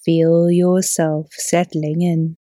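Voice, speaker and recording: A woman yoga teacher leading a guided relaxation in a soothing, unhurried, gently instructing way. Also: lowish voice, flat delivery, no echo. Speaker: yoga teacher and podcast host. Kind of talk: guided relaxation meditation narration.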